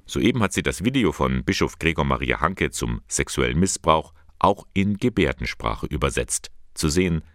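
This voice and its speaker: Man, radio presenter, extremely deep voice, lively intonation, dry close sound. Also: normal speed, hardly any pauses, no room sound. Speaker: radio host.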